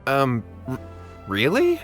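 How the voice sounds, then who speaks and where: A man's voice: medium pitch, wildly swooping pitch, slow. A man playing an anxious, deferential young martial artist in an English video game dub, speaking in an earnest, hesitant, pleading manner. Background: music.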